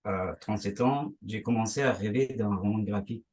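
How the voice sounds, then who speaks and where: A man reading his own life story aloud in measured, line-by-line phrases. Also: fairly low pitch, darkish tone, breathy edge. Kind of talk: reading a prepared text aloud.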